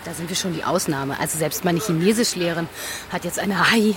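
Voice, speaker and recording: A woman's voice: fairly low pitch, fairly quick, a crowd in the background, natural voice. An actress playing a practical, candid German expatriate woman, chatting colloquially in a radio drama. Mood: candid, reflective. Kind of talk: conversational documentary radio drama. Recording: clear street and traffic noise.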